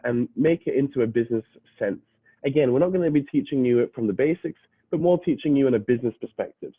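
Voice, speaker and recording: A man's voice: medium pitch, no room sound. A young adult man, half British, giving an explanatory online presentation to prospective students, with frequent filler uhs and restarts. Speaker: university admissions representative. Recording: dry, phone-like lo-fi audio.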